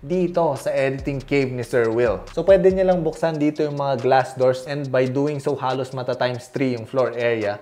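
A man's voice: medium pitch, normal speed, few pauses, dark tone. Breezy young Filipino man presenting. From Philippines. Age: young adult. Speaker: architect presenting a house tour. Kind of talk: casual scripted narration. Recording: music bed behind the voice. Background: music.

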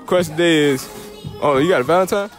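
A man's voice: a little high, fast, soft background music. A man in his late teens chatting and joking in slang as he hosts casual street interviews in a mall. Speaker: vlog host and street interviewer. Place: shopping mall and stores.

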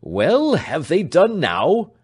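A man voicing an excitable country doctor who calls out loudly and impatiently. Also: fairly high pitch, takes it slowly, slightly rough, pitched above the performer's own voice, full character voice. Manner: loud, impatient, calling out.

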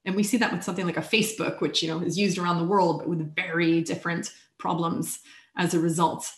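A woman speaking as a keynote guest in a relaxed video-call discussion, animated and wry, with light laughs between points. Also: lowish voice, fast talker. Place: home room. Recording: roomy video-call audio.

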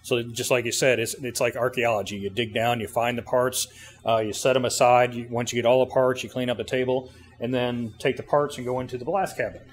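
A middle-aged man giving an informal technical explanation in interview answers, plain-spoken and pausing as he goes. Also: medium pitch, fairly even intonation. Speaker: service bureau co-owner explaining his machine. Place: indoor 3D printing workshop. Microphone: handheld interview mic held by interviewer. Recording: clearly noisy machine-room ambience.

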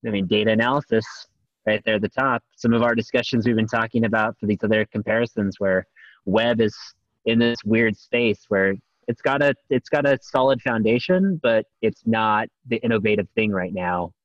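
A man's voice: fairly low pitch, normal speed, no room sound. A man presenting at a meetup, explaining points agreeably over a video call. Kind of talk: casual video call discussion. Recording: dull dry video call audio.

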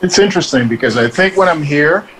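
A man's voice: fairly high pitch, lively intonation, brisk, background noise. A man from Barbados, talking casually and upbeat in an interview. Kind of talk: documentary interview.

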